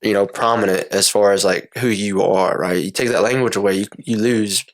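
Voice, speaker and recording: A man's voice: on the low side, steady tone, fairly quick. A man in a casual chat, telling personal stories in an informal, sweary way and acting out quoted dialogue. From United States, Cherokee reservation. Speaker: podcast speaker telling personal experiences.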